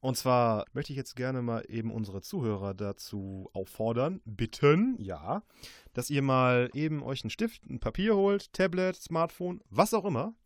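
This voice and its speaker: A man with a mid-pitched voice co-hosts a radio show. He talks to the listeners casually and chattily, in a dry studio sound. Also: animated, moderate pace, no room sound. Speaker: radio co-host.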